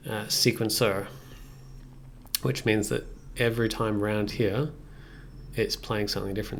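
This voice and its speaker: A man in his thirties casually thinking aloud on a live tutorial stream in a halting, musing way. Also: fairly low pitch, vocal fry. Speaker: live streamer demonstrating synthesizer software. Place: indoor room at a computer desk. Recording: faint background noise.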